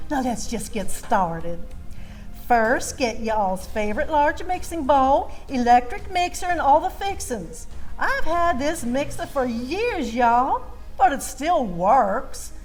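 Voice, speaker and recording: A woman with a Southern US accent and a high voice tells a comic tale as if on stage. Her delivery is folksy and theatrical, and music plays behind her. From Georgia, United States. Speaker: storytelling contestant. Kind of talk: comic first-person storytelling on stage.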